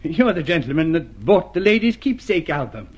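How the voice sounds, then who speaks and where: A genial elderly male shopkeeper in a radio play. His voice is high and breathy, with hesitant, courteous manners. The sound is dull, like an old broadcast. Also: very animated, normal speed. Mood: genial, confiding. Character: genial elderly junk shop keeper, chatty and courteous. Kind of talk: radio drama acting.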